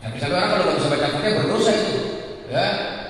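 A man's voice: a little high, lively intonation, very fast, a bit bright. Echoey male mosque preacher. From Indonesia, Betawi region of Jakarta. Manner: emphatic, didactic, repetitive, rhetorical questions. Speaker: Islamic teacher. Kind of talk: mosque sermon, religious lesson. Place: large stone-walled mosque indoors. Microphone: handheld microphone through speakers, recorded by far camera. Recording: very echoey hall, distant, faint noise.

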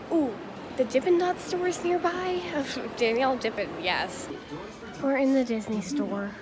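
A young woman vlogging on a handheld phone, chatty and bubbly as she talks straight to her viewers. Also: a little high. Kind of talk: casual vlog to a phone camera. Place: car, bedroom and a busy shopping mall. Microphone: handheld phone camera at arm's length. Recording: phone audio with steady background noise.

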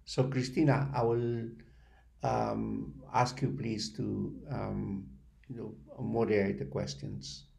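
Slow, very gravelly older man. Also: on the low side, level tone, darkish tone. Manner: halting, deliberate, many pauses. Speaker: network spokesperson. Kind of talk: webinar remarks. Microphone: video call, computer microphone.

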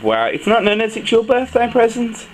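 A man's voice: very high-pitched, big pitch swings, moderate pace. A man chatting casually to his young child, prompting with questions, as a father filming at home. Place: living room at home. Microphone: handheld camera, held by speaker. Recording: noisy home camcorder audio.

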